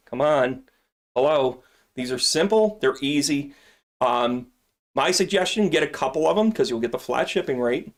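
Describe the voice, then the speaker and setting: A middle-aged man hosting a live stream from his shop, showing off products in a casual, joking, rambling way. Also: on the high side. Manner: joking, rambling, salesy asides.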